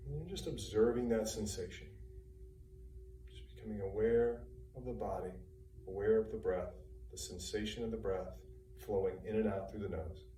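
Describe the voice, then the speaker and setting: A man with a soft-edged, mellow and fairly low voice guides a meditation. He gives calm, unhurried instructions in short phrases, soothing and spaced by pauses. The recording is very roomy, picked up from some distance. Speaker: personal trainer leading guided meditation. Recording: roomy, distant mic, faint hum and noise.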